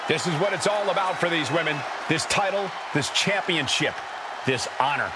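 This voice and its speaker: A man performs an earnest lead wrestling commentator in a video game. He is emphatic and dramatic, a little high in pitch. A very loud crowd surrounds the voice. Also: expressive, normal speed, lightly acted. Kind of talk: sports commentary. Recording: noisy arena with loud crowd.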